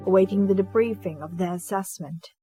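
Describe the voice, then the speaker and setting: A woman's voice: mid-range voice, fairly quick, dark tone, husky, soft background music, lightly acted. A woman delivering scripted story narration for a drama.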